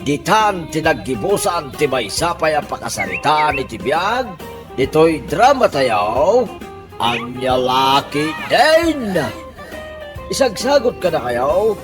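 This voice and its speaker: A man's voice: fairly high pitch, big pitch swings, slow pace, music in the background. A man with an Ilocano accent announcing a radio comedy drama in a scripted narration style.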